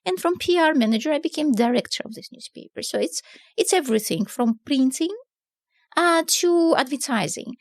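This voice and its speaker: A middle-aged woman from southern Russia with a Russian accent, a newspaper publisher telling her story in a relaxed interview, with many fillers and non-native grammar. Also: high voice, moderate pace, no room sound, clean studio sound. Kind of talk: casual conversational storytelling.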